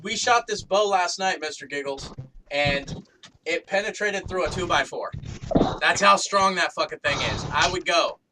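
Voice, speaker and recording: A man's voice: fairly high pitch, normal speed. A man in casual joking group chat, wry and foul-mouthed. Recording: distant mic, clearly noisy background.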